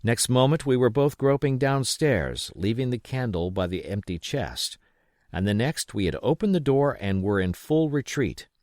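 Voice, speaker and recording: A man reading an adventure novel aloud as expressive scripted narration. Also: medium pitch, normal speed, no echo, studio quality. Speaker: audiobook reader.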